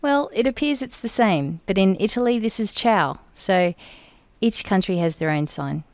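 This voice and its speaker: A woman interpreting for an interview guest in the first person, speaking in measured, deliberate phrases. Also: medium pitch, expressive, a little mellow, no room sound. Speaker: sign language interpreter voicing the guest.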